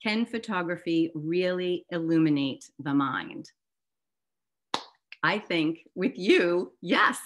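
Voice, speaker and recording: A woman's voice: on the low side, lively intonation, slow, a bit bright, slight background noise. A woman hosting an online book discussion in a casual, chatty and enthusiastic way. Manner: enthusiastic, informal. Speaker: host of an online photobook discussion. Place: online video call.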